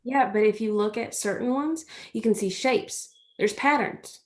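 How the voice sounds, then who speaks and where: Gentle American farm girl, played by a woman, folksy and comforting, for a stage drama reading. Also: on the high side, unhurried, close to an everyday voice. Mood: playful, eager. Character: gentle, chatty rural girl, playful and comforting.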